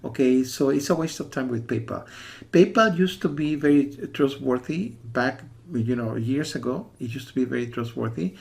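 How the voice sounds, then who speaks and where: A man's voice: mid-range voice, moderate pace, vocal fry. A man from the USA giving a casual spoken review as a warning to viewers, rambling and insistent, often repeating himself. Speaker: software reviewer.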